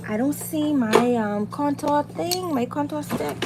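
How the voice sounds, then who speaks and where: Higher-voiced Bahamian woman, noisy livestream. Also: measured pace. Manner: informal, encouraging, repeats phrases for emphasis. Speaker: livestream host, beauty and hair content creator. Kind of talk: casual chat while doing makeup, motivational girl talk. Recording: loud background noise on livestream.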